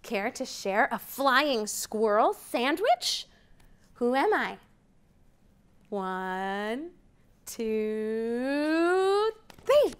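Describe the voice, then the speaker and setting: A woman in her thirties reads a picture book to young children, her voice high and swooping with wide leaps in pitch. She takes her time, speaking slowly and clearly, with excited rising counts. She is recorded in a library room by a camera at mid distance. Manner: animated storytime reading with rising counts. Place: library program room, indoors.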